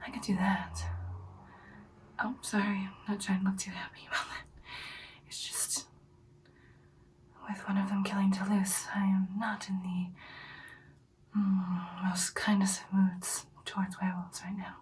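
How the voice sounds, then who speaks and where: Whispered ASMR roleplay: woman as an ancient, self-assured vampire girlfriend, breathy, intimate, slightly distant from the mic over faint hiss. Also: mid-range voice, little pitch movement, a little slow, pauses often, lightly acted. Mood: softly confident. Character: ancient confident female vampire girlfriend, expert sniper.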